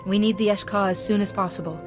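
A woman playing a calm, principled Jedi Master in a video game, composed, measured and formal. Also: mid-range voice, close to an everyday voice. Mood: composed, resolute. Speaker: game protagonist. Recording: music clearly under the voice.

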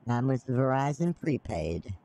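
A man's voice: mid-range voice, sing-song, unhurried, dark tone. A middle-aged man on a live-streamed scam call, folksy and feigning ignorance as a naive mark. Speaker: scambaiter playing a naive mark.

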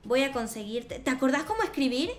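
A young woman with a Rioplatense Spanish accent, hosting a gaming video and improvising roleplay in an expressive, sweet, childlike way. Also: high voice, brisk. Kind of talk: improvised in-character gameplay roleplay. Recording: roomy echo, music behind. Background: background music.

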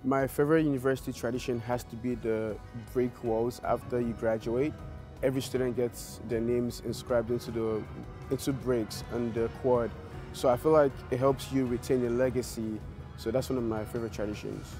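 A young man with a mid-pitched voice, talking in a calm, measured way. He is interviewed outdoors in a campus garden. Faint music plays under his voice. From Nigeria. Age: young adult. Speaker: homecoming court nominee.

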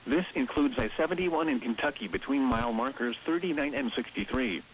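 A synthetic-sounding male announcer reads scripted weather bulletins and warnings in a flat, evenly phrased delivery. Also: mid-range voice, a little fast. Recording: hissy, dull radio broadcast with noise. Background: radio hiss.

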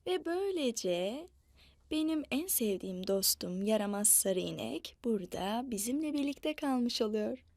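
Lady doing a kids story, high voice, really swoopy and animated, playful and repetitive. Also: no echo, studio quality. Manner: slow, repetitive, expressive delivery with animal mooing. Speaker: children's storyteller. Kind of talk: playful storytelling for young children.

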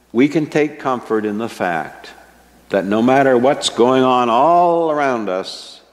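Slow-speaking older man preaching. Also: mid-range voice. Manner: slow, measured and earnest, stressing key words. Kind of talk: sermon. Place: church sanctuary with a stage, indoors. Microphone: microphone at a wooden pulpit, fixed camera. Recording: long church reverb.

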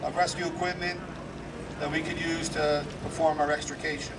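A man, a fire captain giving a live demonstration talk with a strong, loud voice and many fillers. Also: on the high side, flat delivery, moderate pace. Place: outdoor parking lot. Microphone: handheld microphone to a PA. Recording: distant PA voice, loud outdoor noise.

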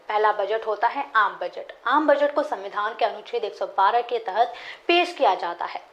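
A woman in her twenties reading a scripted news explainer as a presenter, in a formal, measured manner. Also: a little high.

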